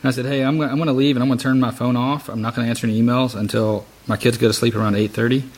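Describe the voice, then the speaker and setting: A man around his thirties or forties hosts in a casual, conversational style. He speaks quickly in a mid-range voice, as in a relaxed interview chat. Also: medium pitch. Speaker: podcast host.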